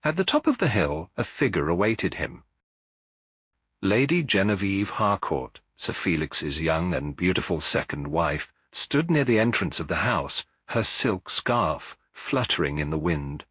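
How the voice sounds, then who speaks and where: A man reading a scripted mystery story as an audiobook narrator, in a measured, formal, dramatic storytelling manner. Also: sits low, big pitch swings, a bit bright, breathy edge, dry recording, studio quality.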